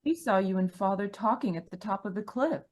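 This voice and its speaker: An actress playing an earnest, anxious young niece in an old-style radio mystery, pleading in manner. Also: female voice, medium pitch, brisk, lightly acted. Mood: probing. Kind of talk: 1940s radio mystery acting. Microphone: video call.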